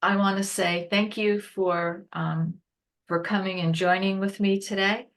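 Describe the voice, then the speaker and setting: A woman presenting an informal webinar, explaining things haltingly and rephrasing as she goes. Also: mid-range voice, steady tone, a bit bright. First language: English.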